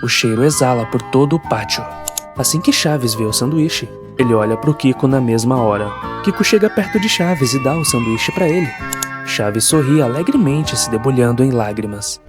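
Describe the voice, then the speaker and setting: A man's voice: medium pitch, expressive, moderate pace. Male narrator, Brazilian accent, mid-range voice, scripted horror storytelling over prominent music.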